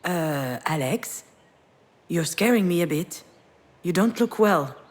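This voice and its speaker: A low-voiced, troubled woman. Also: animated, takes it slowly. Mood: concerned, sad. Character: troubled woman haunted by crash, anxious, introspective. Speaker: game heroine and narrator. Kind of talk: acted visual novel dialogue and inner narration. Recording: music behind the voice.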